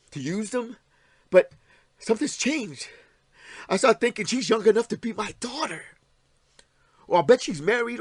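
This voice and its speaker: An actor playing a troubled, wounded, anxious husband in an audio play, speaking in a halting, confessional way. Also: male voice, high-pitched, dry recording. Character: troubled, wounded, anxious estranged husband, brooding and self-pitying.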